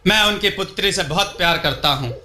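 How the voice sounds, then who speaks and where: An earnest young storybook adventurer, voiced by a man, speaks in a high, very bright voice. The sound is noticeably echoey. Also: brisk. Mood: nervous, pleading. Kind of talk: storybook dialogue.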